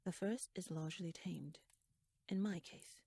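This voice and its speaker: Woman acting as a shrewd noblewoman, audio roleplay, breathy mid-pitched voice, talkative, formal, slyly playful, dry intimate recording. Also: unhurried, warm tone, no room sound, heavily acted. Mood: musing, confiding. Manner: wordy, formal, rhetorical, musing. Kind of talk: ASMR audio roleplay monologue addressed to the listener.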